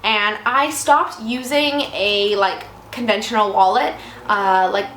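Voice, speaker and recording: A woman in her twenties with a slightly raspy voice from a cold, chatting casually and rambling a little. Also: medium pitch, slow, a bit bright. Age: 20s. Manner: chatty, rambling, self-deprecating. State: fighting off a cold. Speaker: vlogger. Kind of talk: casual chat. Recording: echoey room with faint noise.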